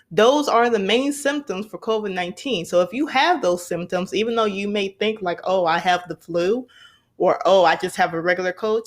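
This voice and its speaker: A woman's voice: mid-range voice, fairly bright tone. A young woman hosting a podcast, telling a personal story in an earnest, conversational way and repeating points for emphasis. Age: young adult. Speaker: podcast host.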